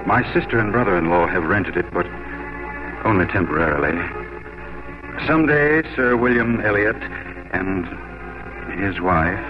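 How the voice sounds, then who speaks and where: Over music on a muffled old radio recording, an ardent gentleman officer. His fairly low voice moves expressively through formal, romantic lines with real intensity. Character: ardent, gallant English naval captain in love. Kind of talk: 1950s radio romantic drama acting.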